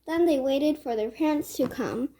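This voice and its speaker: A woman storyteller narrating a children's read-aloud tale with measured phrasing. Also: sits high, mellow.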